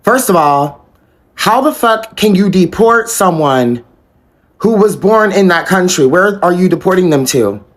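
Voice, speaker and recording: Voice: medium pitch, lively intonation, unhurried. An American speaker on a casual social media rant, exasperated and incredulous, swearing freely. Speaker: political commentator on social media.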